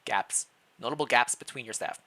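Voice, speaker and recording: Bright-voiced man reading a story aloud. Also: mid-range voice, normal speed. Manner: stumbles over words while reading aloud, self-deprecating. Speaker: streamer reading fan fiction aloud. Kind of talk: expressive story narration with casual chat.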